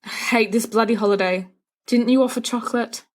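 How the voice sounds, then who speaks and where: A woman's voice: fairly high pitch, steady tone, fairly quick, close to an everyday voice. Woman acting a teenage schoolboy, sulky, fretful, melodramatic grumbling, storybook character. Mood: gloomy. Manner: melodramatic complaining.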